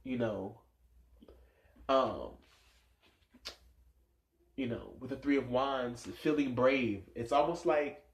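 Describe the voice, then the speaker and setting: A man's voice: mid-range voice, slow, long pauses. A man with a stuffed-up nose giving a casual tarot reading, musing aloud and rambling with many fillers. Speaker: tarot reader. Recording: echoey room sound.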